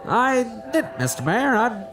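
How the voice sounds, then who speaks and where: An actress playing an earnest, persistent science reporter in an audio drama, questioning insistently. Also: female voice, a little high, very animated, a little slow, music in the background. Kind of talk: scripted audio drama acting.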